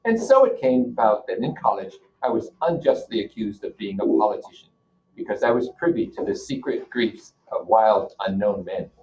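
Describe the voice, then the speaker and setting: A man's voice: on the low side, expressive, normal speed, a little mellow. A man teaching a class, reading a novel aloud and breaking off to explain it informally and put questions to his listeners. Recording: dull, lo-fi audio.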